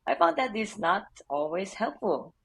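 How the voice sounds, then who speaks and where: A woman from Korea with a Korean accent, a guest answering interview questions in an earnest, measured way with light laughs. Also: mid-range voice, very animated, creaky, slightly rough. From South Korea. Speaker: HR leader, podcast guest.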